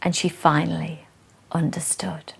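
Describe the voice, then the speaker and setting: A woman reciting verse expressively, with measured, dramatic phrasing and hushed line endings. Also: deep voice, little pitch movement, vocal fry, husky. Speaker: poet and presenter reading verse.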